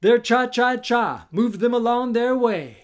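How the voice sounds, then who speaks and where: A man tells a musical picture-book story to young listeners. He takes his time, with a deliberate, rhythmic delivery and a theatrical read-aloud style. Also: extremely high pitch, lively intonation. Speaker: children's author reading own book.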